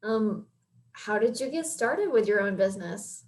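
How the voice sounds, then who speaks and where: A woman's voice: mid-range voice, lively intonation, a little slow. A woman interviewer asking questions in a polite, encouraging manner.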